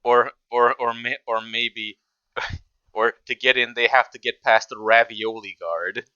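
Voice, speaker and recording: A man's voice: mid-range voice, steady tone, a little slow, bright, forceful tone, clear, resonant tone, no echo, highs cut off. A man giving casual off-the-cuff reaction commentary, rambling and stammering as he restarts his sentences. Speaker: reaction video host.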